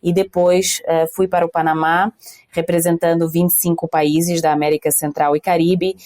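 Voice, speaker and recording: A woman guest with a mixed Portuguese and Brazilian accent, speaking in a measured way with hesitant pauses. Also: fairly low pitch, flat delivery, darkish tone, no echo, clean studio sound. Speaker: guest executive. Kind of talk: podcast interview.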